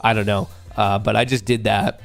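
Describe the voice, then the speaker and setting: Slightly nasal man with a gravelly edge. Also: fairly low pitch, level tone, moderate pace, faint music behind. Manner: loose, joking, talks straight to the chat. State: getting over a cold. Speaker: livestream host. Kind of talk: casual livestream chat.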